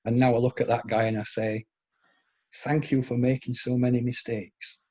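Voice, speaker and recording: A man in his fifties with a Yorkshire accent softened by years in Scotland, rambling through anecdotes in a casual conversation with frequent restarts. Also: medium pitch, little pitch movement, moderate pace. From England, Yorkshire.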